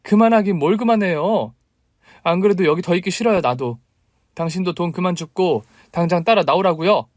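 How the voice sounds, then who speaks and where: A man's voice: sits high, fairly quick, darkish tone, no echo, voice pushed up, natural voice. A proud middle-aged wife, as a man puts her on, wounded and indignant, speaking in a raised, emotional voice. The recording is close and dry. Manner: pleading then indignant, emotional.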